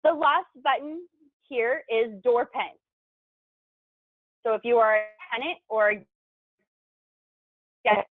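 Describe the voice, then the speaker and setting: Higher-voiced woman narrating, thin phone-like sound. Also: dry recording. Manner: clear step-by-step explaining. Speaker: tutorial presenter. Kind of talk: instructional video narration. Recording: thin phone-like band-limited sound, dry.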